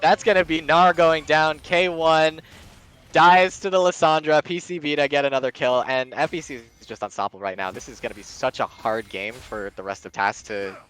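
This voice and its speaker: A man's voice: on the high side, fairly even intonation, moderate pace, a bit bright, clean tone. A man giving live play-by-play commentary on a video game match, mixed with casual chat.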